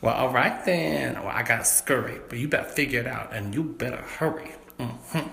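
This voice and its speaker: A man's voice: mid-range voice, normal speed, creaky, sharp tone, voice pushed up. A man voicing a sassy, melodramatic dame in a comic noir spoof. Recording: noisy homemade phone clips.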